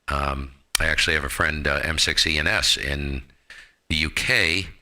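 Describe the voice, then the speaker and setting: A deep-voiced American man with a very bright, forceful edge. He talks like a ham radio host, breezy and salty, tossing in slang as he chats. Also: fairly even intonation, normal speed. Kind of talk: casual amateur radio chat.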